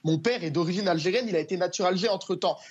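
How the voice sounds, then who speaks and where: A man's voice: high voice, racing, slightly rough, lo-fi sound. A man from France, a journalist appearing as a guest on a TV studio debate, speaking insistently and repeating his key phrases.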